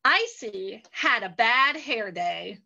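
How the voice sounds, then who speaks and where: A woman's voice: on the high side, animated, takes it slowly, gravelly, pushed and bright, dull audio. A woman hosting and narrating improvised children's stories in a theatrical, exclamatory way. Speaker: host and story narrator.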